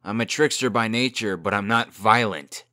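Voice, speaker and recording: A man's voice: fairly low pitch, normal speed, sharp tone, no echo, clean studio sound. A man playing a cocky, glib and defensive young fairy-tale trickster in a comic dub drama. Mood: cocky, indignant.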